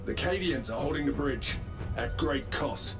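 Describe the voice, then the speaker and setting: An actor playing a loyal, battle-hardened space marine sergeant in a game, speaking in formal military fashion. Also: male voice, a little high, expressive, a little slow, music in the background. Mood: grim. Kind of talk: cinematic game dialogue.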